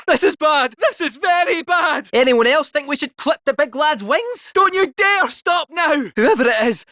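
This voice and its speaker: A man's voice: very high voice, sharp tone, no room sound, studio quality, heavily acted. A man voicing a cheeky, chatty male robot cat fortune teller with a lilting Scottish accent, in video game voice lines. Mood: battle shouts.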